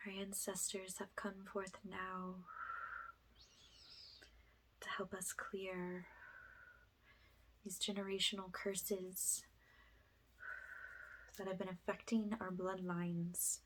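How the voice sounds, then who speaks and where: Hushed woman whispering spiritual ASMR, noisy recording. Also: medium pitch, flat delivery, takes it slowly, long pauses. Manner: hushed, drifting between whisper and soft speech. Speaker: ASMR energy healer, host. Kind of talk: spiritual ASMR, soft guided clearing.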